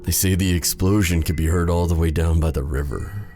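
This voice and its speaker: A man playing a brooding, bitter exiled sorcerer with a tender side in an intimate ASMR roleplay drama, with a soft, halting delivery. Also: low voice, background noise. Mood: pained, bitter. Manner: halting, hesitant, sighing delivery.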